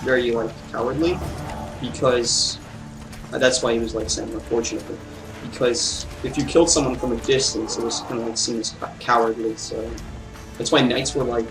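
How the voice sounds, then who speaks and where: A man's voice: medium pitch, steady tone, a little fast, recorded from a distance, rough recording. Man rambling casually over game music. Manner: rambling, offhand. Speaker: let's play gamer.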